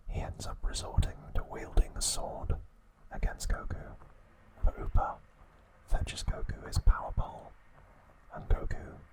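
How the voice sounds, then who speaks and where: A man whispers his way through ASMR narration at a very slow pace. He speaks deliberately, breaking sentences into short phrases with pauses between them. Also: low-quality recording. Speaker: ASMR host.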